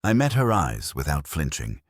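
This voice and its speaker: A man reading a scripted dramatic first-person story as narrator, in a measured, solemn manner. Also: rumbling low, wildly swooping pitch, breathy edge, no echo, clean studio sound.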